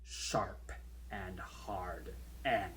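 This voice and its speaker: A man's voice: sits low, steady tone, slight background noise, full character voice. A man playing a chatty kayak rescuer who recounts an eerie ordeal in an informal, wry, suspenseful monologue. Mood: uneasy. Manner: wry, informal, halting for suspense, swelling then hushed. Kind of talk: conversational horror monologue, animated storytelling.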